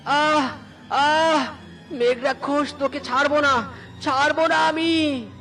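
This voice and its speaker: A man voicing a proud male serpent king in a cartoon, shrill and indignant. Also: steady tone, takes it slowly, sharp tone, exaggerated voice, low-quality recording. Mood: pained. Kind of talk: cartoon story voice acting. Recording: background music.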